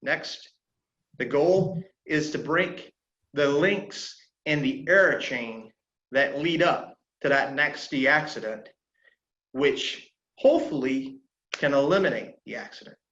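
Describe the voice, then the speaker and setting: A man's voice: a little high, a bit bright. Earnest man presenting a webinar. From South Carolina. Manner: halting, many filler sounds, earnest. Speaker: flight instructor presenting a safety webinar. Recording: dull lo-fi audio in an echoey room.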